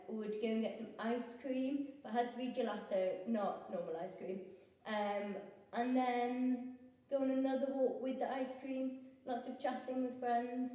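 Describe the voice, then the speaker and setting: A woman's voice: on the high side, warm tone. A young woman from England answering interview questions about her partner in a chatty way, with frequent ums. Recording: echoey room, mic far off.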